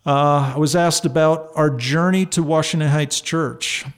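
A man in his fifties from northern New Jersey, a pastor hosting his church's podcast, talking in a relaxed way with many ums and uhs. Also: a little high, measured pace. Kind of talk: casual church podcast monologue.

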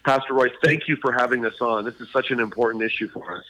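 Bright-voiced middle-aged man, halting speech. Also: mid-range voice, steady tone, a little hiss or hum, low-quality recording. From Hawaii and Southern California. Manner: halting, many fillers and restarts. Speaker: state attorney general. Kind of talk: radio interview guest.

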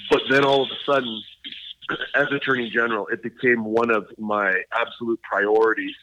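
A middle-aged man from Hawaii and Southern California, a state attorney general speaking as a radio interview guest, halting with many fillers and restarts. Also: mid-range voice, flat delivery, lo-fi sound, noisy background.

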